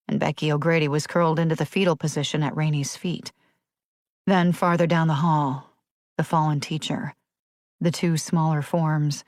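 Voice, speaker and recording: A woman's voice: deep voice, dry recording, studio quality. A woman reading a thriller audiobook as a scripted, dramatic narration.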